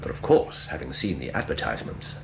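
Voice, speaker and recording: An actor playing a brisk, keen-minded English gentleman detective in a radio drama, speaking precisely with lively bursts. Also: very deep voice, creaky, exaggerated voice. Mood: brisk, probing. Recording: noisy radio drama with ambient sound effects.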